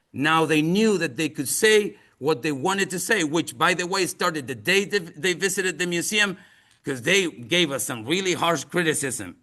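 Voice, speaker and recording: A man from Chile with a Chilean accent, giving a presentation in English as a non-native speaker in an earnest, emphatic and deliberate manner. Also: a little high, bright, forceful tone. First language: Spanish. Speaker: museum outreach presenter. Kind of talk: presentation to an audience with slides.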